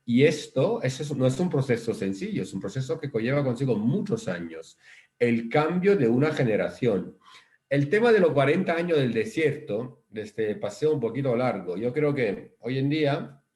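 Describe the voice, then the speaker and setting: Italian-accented male rabbi, mid pitch, explanatory, restarts often, video call. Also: big pitch swings, normal speed, a little mellow. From Italy. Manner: thoughtful, explaining with many restarts. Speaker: rabbi, guest speaker.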